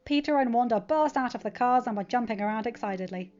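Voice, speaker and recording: A woman's voice: fairly high pitch, fast, darkish tone, muffled recording. A woman reading scripted story narration as the narrator of a fan fiction audiobook.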